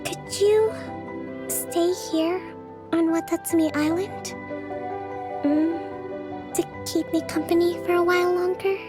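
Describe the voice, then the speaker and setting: A woman voicing a young priestess commander in a video game, composed yet gently self-doubting, speaking in formal, measured, articulate phrases. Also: extremely high pitch, steady tone. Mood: shy. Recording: game music behind the voice. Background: music.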